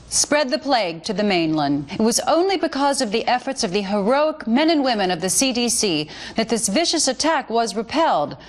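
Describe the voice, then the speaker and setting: Slightly high-voiced American TV newswoman. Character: polished, earnest propaganda TV newswoman. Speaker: news anchor. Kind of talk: scripted TV news bulletin.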